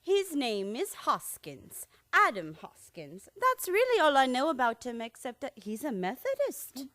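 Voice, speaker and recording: A sweet, prim elderly aunt played by a woman in a radio comedy. Her voice sits very high and swings widely in melody. She scolds gently and treats dreadful things as ordinary household matters. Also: huge pitch swings, a little slow, full character voice. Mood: prim, dismissive. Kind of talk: stage comedy radio play acting.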